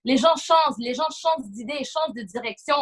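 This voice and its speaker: A woman's voice: high voice, a little fast. A woman with a Quebec French accent speaking as a panelist in a casual discussion, emphatic, repeating phrases and slipping into English. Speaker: podcast panelist.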